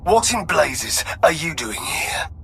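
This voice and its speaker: An actor playing a haughty, wrathful Sith lord in a video game, with an imperious, menacing, theatrical delivery over music. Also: male voice, on the high side, very animated, slow, vocal fry, fairly bright tone, heavily acted. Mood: indignant, threatening. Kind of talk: dramatic video game acting.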